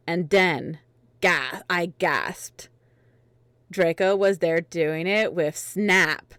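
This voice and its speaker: Low-voiced woman, very dry recording. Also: a bit bright, no echo. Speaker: reader in a livestreamed group fanfiction reading. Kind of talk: dramatic reading mixed with casual chat.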